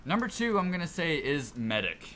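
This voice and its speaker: A man's voice: fairly high pitch, sing-song, normal speed, bright, forceful tone. A man co-hosting a casual gaming podcast, explaining his picks in an informal, easygoing way. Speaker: podcast co-host. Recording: noisy amateur podcast recording.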